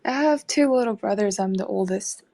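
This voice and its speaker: A young woman from Taiwan, an artist guest, talking in a relaxed way with hesitant fillers and easy laughs. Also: mid-range voice, moderate pace, vocal fry. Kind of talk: casual interview chat.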